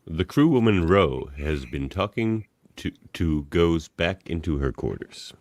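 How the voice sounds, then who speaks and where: A man's voice: low voice, very animated, unhurried, background noise. A man reading stage directions as an amused narrator of an amateur radio play.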